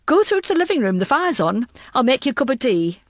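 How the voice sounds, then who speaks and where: A woman voicing an anxious, wry detective in an audiobook, animated and given to exclaiming. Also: high-pitched, fast, no room sound, pitched above the performer's own voice. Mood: familiar, teasing.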